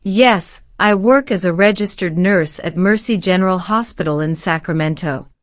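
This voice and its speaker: A woman in her forties from Syria, giving polite, formal, measured answers as a citizenship applicant. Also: lowish voice, no echo, clean studio sound. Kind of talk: scripted interview answers.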